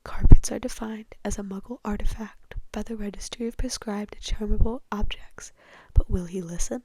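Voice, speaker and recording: A woman voicing a mild, weary middle-aged wizard father in a breathy whisper for a storybook reading. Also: medium pitch, steady tone, normal speed, mellow, slight background noise, lightly acted. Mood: exasperated. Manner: tentative, mildly exasperated.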